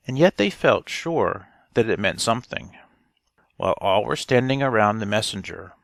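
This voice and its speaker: Slow, gravelly, low-voiced male storyteller. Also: a bit bright, dry recording, clean studio sound. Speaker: audiobook reader. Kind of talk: scripted storybook narration.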